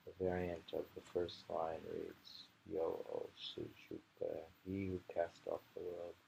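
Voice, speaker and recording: A man's voice: low-pitched, very animated, a little slow, creaky, mellow. A man reading poetry aloud and commenting informally, musing and rambling as he goes, often repeating phrases. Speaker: poetry reader. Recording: noisy amateur home recording.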